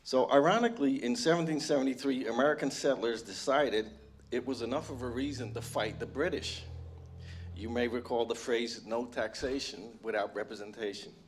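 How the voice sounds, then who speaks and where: A man's voice: mid-range voice, normal speed. A man from the Netherlands, an audience member asking a pointed, prepared question at a town meeting.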